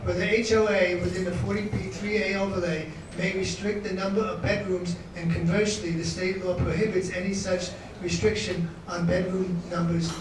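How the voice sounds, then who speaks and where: A man moderating a town meeting, reading questions aloud in a halting, hesitant way. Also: sits high, little pitch movement. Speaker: forum moderator. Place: meeting room. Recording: very echoey room, far from mic, noisy.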